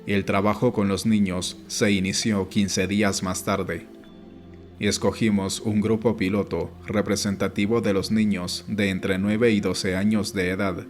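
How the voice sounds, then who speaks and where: A man reading an audiobook as scripted narration, in a measured, instructive way. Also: fairly low pitch, flat delivery. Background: background music.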